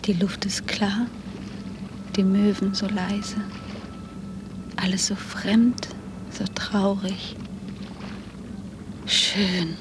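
A woman's voice: medium pitch, little pitch movement, drawn-out words, long pauses. An actress playing a dreamy, bewildered, melancholy young woman in a German radio drama. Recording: clear background noise on radio play.

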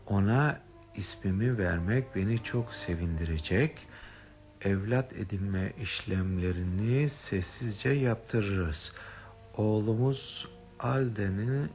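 Slow, low-voiced dramatic gentleman. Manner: slow, dramatic, emotional delivery with heavy pauses. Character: stricken, dignified gentleman of a wealthy household, a father. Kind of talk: Turkish radio theatre acting.

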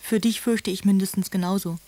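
An anxious, submissive woman played by an actress speaks earnest in a soft, very mellow voice of middle pitch. She sounds imploring, as if confiding a letter in a radio play. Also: fairly even intonation, brisk, dry recording, close to an everyday voice. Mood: anxious, grateful. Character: anxious, pleading, submissive mother and devoted penitent friend. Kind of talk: German radio drama, letters read in character.

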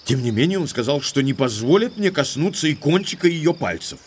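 An actor playing an indignant, lovestruck young English baronet in an audio drama, speaking emphatically like an exasperated gentleman. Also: on the high side, very animated, fairly quick, slight background noise. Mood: aggrieved.

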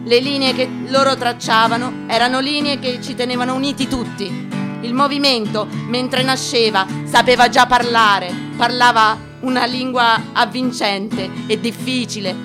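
Lady doing a dramatic reading, bit high pitched, solemn with big pauses, guitar behind. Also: steady tone. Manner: solemn, declamatory, with deliberate pauses and emphatic lists. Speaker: actress giving a staged reading. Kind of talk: dramatic literary reading, scripted narration. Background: guitar music.

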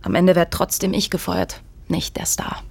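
An actress playing a guarded, proud film make-up artist with a clipped, defensive manner in a radio drama. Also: female voice, fairly low pitch, sing-song, normal speed, a little mellow, breathy edge, in a whisper, exaggerated voice.

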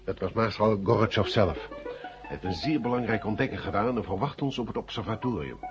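An actor playing a wry, dryly polite American government space expert in a radio drama. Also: male voice, lowish voice, fairly quick, music in the background, close to an everyday voice. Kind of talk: 1970 Dutch radio drama acting. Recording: dull 1970 radio recording.

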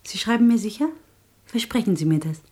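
An actress playing a wistful, gently reproachful young woman in intimate radio drama dialogue, soft and restrained. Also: mid-range voice, brisk, slightly rough, lightly acted.

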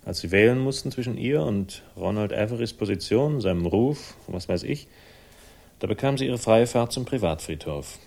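Low, gravelly hard-boiled avenger. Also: male voice, expressive, normal speed, a little mellow. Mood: cold, accusing. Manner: dry, sardonic, laconic. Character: hard-boiled, wry man avenging his sister. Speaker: lead character and first-person narrator. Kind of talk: 1960s crime radio play acting and narration.

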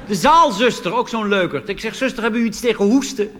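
A Dutch man doing a cabaret monologue on stage, delivering deadpan punchlines and jumping into animated put-on voices. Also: high-pitched, fairly quick. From Netherlands. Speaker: cabaret comedian. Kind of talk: stand-up monologue. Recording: reverberant hall with audience noise.

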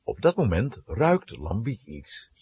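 Husky male storyteller, hugely animated. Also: lowish voice, slow pace, dull audio. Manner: dramatic, expressive. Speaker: storyteller narrator. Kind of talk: scripted children's story narration.

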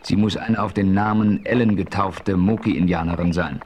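An actor as the first-person frontier narrator of a 1970s radio play, clear and matter-of-fact. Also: male voice, sits low, steady tone, normal speed, darkish tone, slight background noise, close to an everyday voice. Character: adventurous frontiersman narrator, man.

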